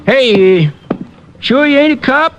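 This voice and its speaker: High-voiced laconic old boatman. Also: lively intonation, a little slow. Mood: laconic, folksy. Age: older. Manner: terse, folksy, ungrammatical dialect. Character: laconic old boatman on the dock, folksy and incurious. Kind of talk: old-time radio drama acting. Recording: muffled, noisy old radio recording.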